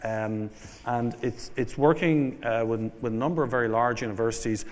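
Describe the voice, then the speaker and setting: A man with an Irish accent giving a conference keynote, setting out points in a measured way with fillers and restarts. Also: medium pitch. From Ireland. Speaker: keynote speaker. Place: conference hall. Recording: long hall reverb.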